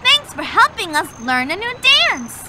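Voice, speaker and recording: A woman's voice: very high-pitched, very animated, a little slow, heavy background noise, heavily acted. A woman voicing a cheerful young girl explorer in a children's video game, talking to the player and encouraging them. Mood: grateful.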